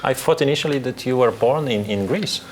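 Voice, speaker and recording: A man's voice: medium pitch, fairly quick, a little hiss or hum. A man interviewing a guest with short, probing questions in slightly uneven phrasing.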